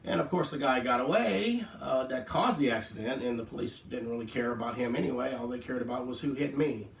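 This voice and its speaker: A man, sick and hoarse with flu, talking casually to camera in a rambling way with lots of fillers and wry asides. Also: mid-range voice, a little fast, reverberant, far from the mic. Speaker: vlogger. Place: indoors at home.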